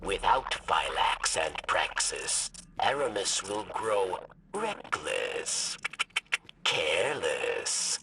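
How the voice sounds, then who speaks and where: Deep, grave, calculating resistance leader. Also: male voice, sing-song, pushed and bright, exaggerated voice. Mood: grim, calculating. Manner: grave, deliberate, measured. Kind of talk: video game dialogue acting. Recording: distant voice amid noisy game sound.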